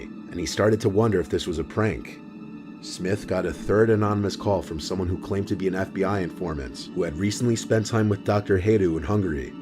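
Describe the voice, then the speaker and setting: A man reading a scripted documentary narration in a steady, even storytelling manner. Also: deep voice. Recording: voice-over with clear music behind.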